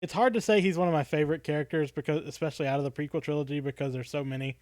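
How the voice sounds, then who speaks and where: Male podcast co-host, fairly high for a man, dry close sound. Thoughtful, halting conversational delivery, often doubling back on phrases. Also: fairly even intonation, a little mellow, dry recording. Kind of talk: casual fan podcast chat.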